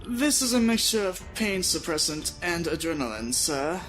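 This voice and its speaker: Man putting on a high-pitched, dutiful technician. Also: very animated, moderate pace, a bit bright, rough recording. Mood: clinical, focused. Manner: formal, procedural, deferential. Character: dutiful, clinical male technician assisting his leader. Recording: music behind the voice.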